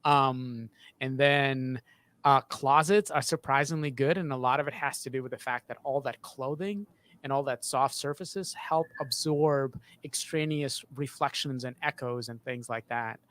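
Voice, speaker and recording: A man in his thirties with a mid-range voice explains technical matters casually in an interview. His phrasing is halting and informal, and he speaks into a close boom-arm microphone in a treated home studio. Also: fairly bright tone. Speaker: sound designer. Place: home studio with acoustic panels.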